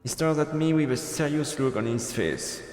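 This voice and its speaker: A man's voice: on the high side, moderate pace, a little mellow, faint music behind. A man streaming a visual novel, reading its narration aloud and adding commentary. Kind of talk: let's play commentary and read-aloud narration. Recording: echoey room with long reverb.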